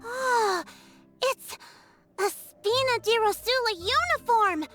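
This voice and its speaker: A woman voicing a sweet, excitable little girl in a video game. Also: very high voice, slow, full character voice. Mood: excited, sweet. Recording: music behind the voice.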